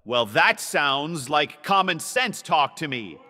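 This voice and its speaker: Man playing a high, belligerent committee politician. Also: very animated, takes it slowly, bright, forceful tone, exaggerated voice. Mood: accusing. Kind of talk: audio drama acting.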